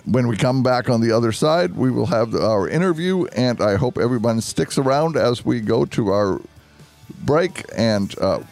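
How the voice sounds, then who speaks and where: A man speaking as a deacon and radio host, conversational and earnest, explaining things deliberately. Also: medium pitch, lively intonation, a little mellow, faint music behind. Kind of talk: conversational religious radio hosting.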